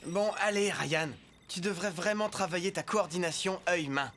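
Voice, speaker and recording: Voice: medium pitch, moderate pace, a bit bright, slight background noise, close to an everyday voice. A performer playing a self-assured, know-it-all boy who advises friends in a French-dubbed cartoon. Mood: bossy but friendly.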